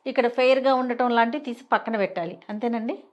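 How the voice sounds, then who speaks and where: A woman's voice: on the high side, fairly even intonation, quick pace. A woman interviewing casually, responding briefly and agreeably. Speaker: anchor and interviewer.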